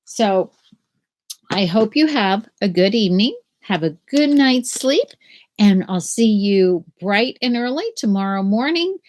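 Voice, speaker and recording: An older woman, a school principal, reads aloud gently and slowly to young children from a small room at home on a laptop webcam. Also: medium pitch. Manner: slow, gentle and animated, like reading to young children. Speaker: school principal reading to students. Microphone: laptop webcam at desk.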